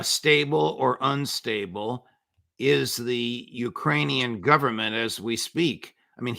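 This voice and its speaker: A man's voice: medium pitch, creaky, bright, forceful tone. A man hosting an interview, asking pointed, leading questions. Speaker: show host.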